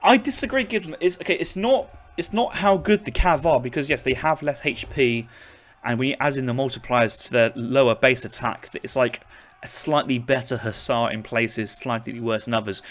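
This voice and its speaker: A man's voice: medium pitch, moderate pace, fairly bright tone. A young man casting a strategy game tournament, breaking down units and matchups as he thinks aloud. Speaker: esports caster.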